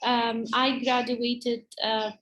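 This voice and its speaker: A woman whose first language is Arabic speaks with an Arabic accent as a teacher presenting to parents, in a halting, hesitant way. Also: a little high, level tone, fairly bright tone, low-quality recording. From Palestine.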